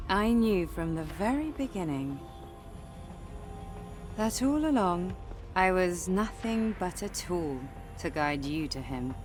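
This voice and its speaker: A woman's voice: lowish voice, a little mellow. An actress playing a mocking, bitter female villain in a video game, taunting and theatrical. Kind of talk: dramatic video game acting.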